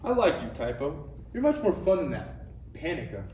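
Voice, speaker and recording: A man's voice: a little high, sing-song, moderate pace, darkish tone. Man reading wry Jedi master, echoey distant room. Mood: wry, friendly. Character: calm, wry older Jedi master and mentor. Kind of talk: parody script table read. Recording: echoey, noisy room recorded from far off.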